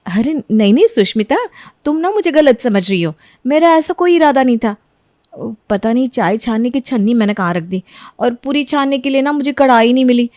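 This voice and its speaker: A young housewife from a moral story , acted by a woman, always justifying herself and ready to argue. Her voice is rather high, recorded dry and close. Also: animated, normal speed, a little mellow, dry recording, natural voice. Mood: defensive, explaining. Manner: self-justifying, argumentative. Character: careless, defensive young housewife daughter-in-law.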